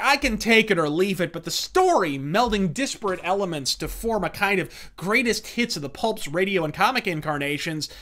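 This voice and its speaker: A man hosts an opinionated review with a bright, hard-edged voice. His delivery is theatrical and emphatic, built on ornate, wordy sentences. He swears freely and brashly as he goes. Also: high voice. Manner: profane, brash. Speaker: host of a comics and pulp review series. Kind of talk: scripted opinionated review commentary.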